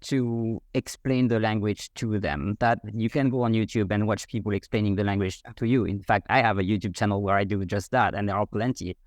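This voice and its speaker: French-accented man explaining in measured steps. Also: fairly low pitch, steady tone, no echo. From France. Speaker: guest, French learning coach. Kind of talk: explanatory interview answers.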